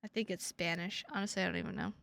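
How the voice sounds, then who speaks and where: A woman live streaming games, chatting casually with viewers in an excitable, sweary way and repeating her phrases for emphasis. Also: fairly low pitch, rapid-fire, creaky. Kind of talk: casual livestream chat with viewers.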